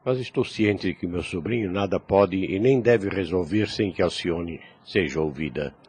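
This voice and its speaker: A man's voice: low voice, moderate pace, darkish tone, husky, heavily acted. An actor playing a kindly, frank older priest in a radio drama, formal and fatherly. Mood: frank, grave.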